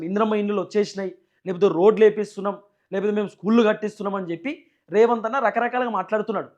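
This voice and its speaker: A man's voice: sits high, lo-fi sound. A man with a Telangana Telugu accent giving emphatic, repetitive political news commentary as a channel host, often in rhetorical questions.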